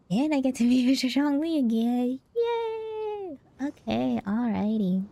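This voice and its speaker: A woman's voice: high-pitched, expressive, slow pace, creaky, warm tone, no echo. A woman doing casual livestream commentary as a game streamer, reacting in an informal, rambling way. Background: game music.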